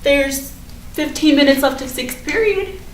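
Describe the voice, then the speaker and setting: Woman playing earnest nervous high school girl in a play reading, really high voice, echoey n far from the mic. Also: unhurried. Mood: sincere, hopeful. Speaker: actor in a staged reading. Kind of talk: staged play reading, teen dialogue. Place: indoor room at a staged reading. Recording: roomy, distant mic, faint noise.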